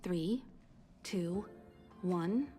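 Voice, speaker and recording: An authoritative woman leading a crew in an anime-style game. She speaks slowly and with poise, formal in her wording, over faint music. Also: fairly low pitch, mellow. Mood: composed, businesslike.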